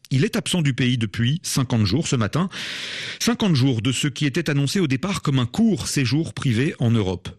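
A man's voice: mid-range voice, no room sound. Formal male radio news anchor, dry studio. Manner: measured, formal delivery. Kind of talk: scripted news reading.

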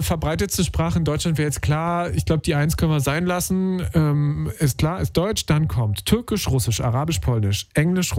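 A man's voice: on the high side, a little mellow. Upbeat slangy male radio host. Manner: upbeat, slangy, chatty with filler sounds. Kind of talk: casual call-in radio talk. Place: radio studio. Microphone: studio microphone.

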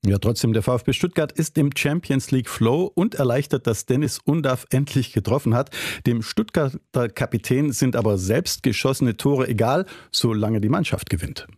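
A man's voice: medium pitch, normal speed, few pauses, darkish tone, dry recording, clean studio sound. A man presenting radio sports news in a colloquial, punchy way.

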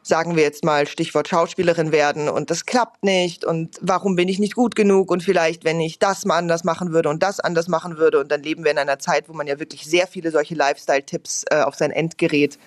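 A woman's voice: low-pitched, steady tone, a little fast, hardly any pauses, dry recording. A woman in her thirties with a light Viennese accent, talking reflectively in an interview. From Vienna, Austria. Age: 30s. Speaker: interviewed cabaret performer.

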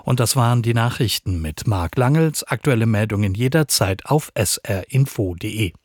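A man's voice: lowish voice, expressive, moderate pace, darkish tone, no room sound, studio quality. A man reading a scripted radio news bulletin as a newsreader.

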